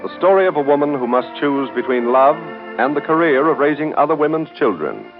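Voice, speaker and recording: A man's voice: fairly high pitch, sing-song, brisk. An American man announcing and narrating a 1930s radio serial from a script. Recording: dull, band-limited 1930s radio broadcast. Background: music.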